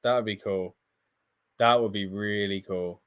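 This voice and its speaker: A man co-hosting a wrestling livestream, chatting casually and getting excited in bursts. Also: on the low side, measured pace, clean tone, dry recording, clean studio sound. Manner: excitable, talks in bursts with restarts.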